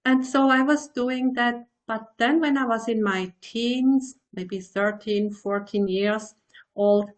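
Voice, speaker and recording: A woman in her sixties from Switzerland, a meditation teacher answering interview questions in a thoughtful way, pausing to find her words. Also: a little high. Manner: slow, thoughtful, searching for words.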